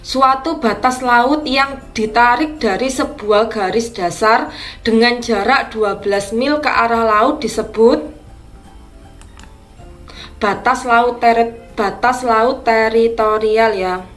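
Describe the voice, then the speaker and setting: A woman teacher going through practice exam questions, explaining each answer slowly and deliberately. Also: a little high, fairly bright tone, soft background music.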